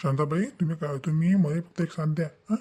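A man acts out a plain-spoken family elder in a village cartoon drama. His voice is mellow and somewhat high for a man, with a rural Vidarbha Marathi accent and halting, repeated questions. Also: lightly acted. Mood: earnest, reassuring. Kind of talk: animated family story dialogue.

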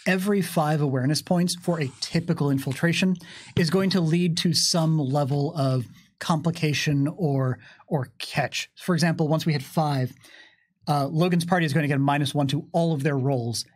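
An American man in his thirties running a tabletop game, narrating and explaining rules in an animated way. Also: fairly high pitch, moderate pace. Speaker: game master. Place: small curtained studio, indoors. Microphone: desk microphone on boom arm.

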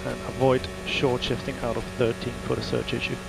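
A man's voice: medium pitch, level tone, fairly quick, gravelly, loud music behind the voice. A male race engineer giving clipped, matter-of-fact instructions to a driver over team radio, repeating key phrases. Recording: noisy team radio with car sounds.

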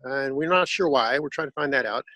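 A man giving a casual tour of his backyard garden, explaining plants in a relaxed, informative way with some fillers. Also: mid-range voice, a little fast, dry recording, low-quality recording.

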